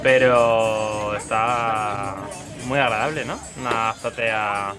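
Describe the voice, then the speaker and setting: A man's voice: on the low side, fairly even intonation, slow. Spanish man chatting casually over music. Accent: Spain. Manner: informal, conversational. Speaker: travel vlogger. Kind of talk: casual travel vlog commentary. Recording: music behind the voice.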